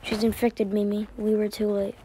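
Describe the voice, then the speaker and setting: A woman performing dialogue as an amateur voice-over for a homemade animated story. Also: mid-range voice, moderate pace, slight background noise.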